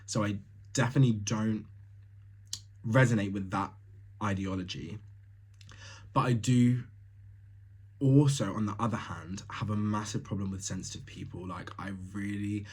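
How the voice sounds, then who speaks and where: A man's voice: fairly low pitch, husky. A young man from Tanzania hosting a solo podcast, talking casually and bluntly, laughing often with lots of fillers. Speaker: podcast host.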